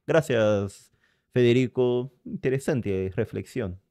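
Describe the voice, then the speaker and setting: A man's voice: medium pitch, takes it slowly, mellow, dry recording. A man whose first language is Portuguese, with a Brazilian accent, chatting casually and hesitantly while reacting to a video and reading the chat. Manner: hesitant, searching for words, reads chat aloud. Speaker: reaction streamer.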